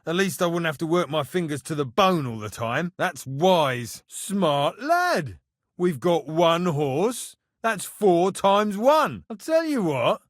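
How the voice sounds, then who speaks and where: High-voiced, grumbling medieval castle blacksmith. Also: male voice, big pitch swings, slow pace, dry recording, clean studio sound. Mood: instructing, wry. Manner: blunt, sardonic, exasperated, rough colloquial phrasing. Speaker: blacksmith character in a video game. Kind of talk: video game character dialogue.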